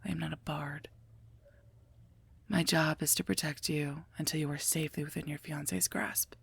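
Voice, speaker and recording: Woman acting a gruff, gravelly female werewolf knight. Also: deep voice, fairly even intonation, normal speed, fairly bright tone, full character voice. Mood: curt, sardonic. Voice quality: husky, gravelly, breathy. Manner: dry, curt, mocking. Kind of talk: scripted ASMR roleplay, addressed to listener.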